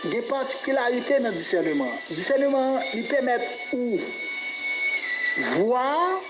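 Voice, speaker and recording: A man's voice: extremely high pitch, animated, normal speed. A man with a Haitian accent teaching the Bible as a pastor, didactic, repeating key phrases and checking that listeners follow. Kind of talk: Bible teaching on spiritual gifts. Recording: dull, echoey room recording with music behind.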